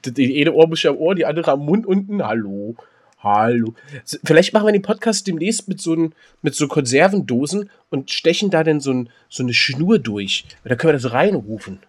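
A man's voice: a little high, very animated, brisk. A man co-hosting a casual podcast chat, joking and teasing his partner. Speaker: podcast co-host.

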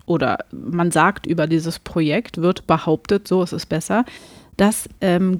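Female podcast co-host, unhurried delivery, medium pitch, dry studio-like closeness, casual and halting explanations. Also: a little mellow, dry recording.

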